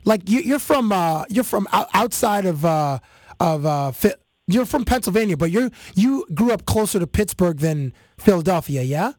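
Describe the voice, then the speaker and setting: A Canadian man hosts a chatty interview and tells stories with animated energy and plenty of fillers. His voice sits high for a man. The sound is close and dry. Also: moderate pace, dry recording. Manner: animated storytelling with many fillers. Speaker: podcast host. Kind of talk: casual interview chat.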